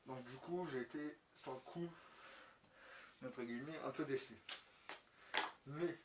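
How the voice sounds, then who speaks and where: A man's voice: medium pitch, unhurried. A man giving a casual, unscripted product review in colloquial, slangy speech with some mild swearing. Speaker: motovlogger reviewing gear. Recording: echoey room, clicks and clear background noise.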